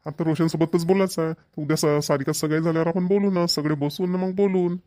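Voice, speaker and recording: A man plays a middle-aged cartoon father from a village, in a fairly high, flat voice. He speaks with a Vidarbha Marathi accent, patiently explaining and repeating himself. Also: fairly quick, dry recording, studio quality, natural voice. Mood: placating. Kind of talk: amateur cartoon voice acting.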